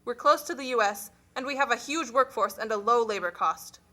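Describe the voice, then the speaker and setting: A woman's voice: a little high, a little fast, bright, forceful tone. A woman voicing a formal, matter-of-fact official in an amateur puppet show, reciting explanations.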